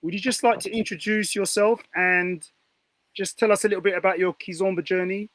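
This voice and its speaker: A man's voice: high voice, steady tone, fairly quick, fairly bright tone, dry recording. A man hosting a panel talk show in a chatty style. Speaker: presenter and host.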